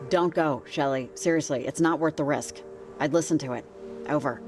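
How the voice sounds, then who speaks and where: A woman's voice: low voice, normal speed, background noise. A woman playing a practical, guarded, concerned character in a video game, speaking casually with a dry, hesitant, understated manner. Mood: concerned, firm. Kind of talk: naturalistic game voice acting, casual dialogue.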